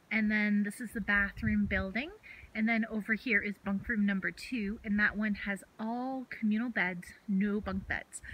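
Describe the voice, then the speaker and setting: A woman in her thirties chatting casually in short, relaxed remarks as she films a hiking vlog on a phone. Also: medium pitch, slight background noise, poor audio. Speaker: hiker vlogger. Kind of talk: casual trail vlog narration to camera. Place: outdoors on mountain trail, sometimes inside hut. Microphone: handheld phone at arm's length.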